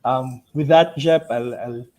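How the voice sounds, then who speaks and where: Mellow, halting Filipino man presenting. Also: mid-range voice. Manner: halting, ends phrases with a tag "no?". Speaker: company investor relations presenter. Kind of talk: business presentation to investors. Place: virtual call.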